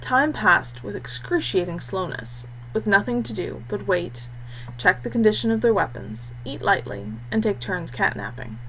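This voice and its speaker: A woman's voice: medium pitch, normal speed, fairly bright tone. A woman reading a scripted fantasy story as an audiobook narrator. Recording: clearly noisy background hum.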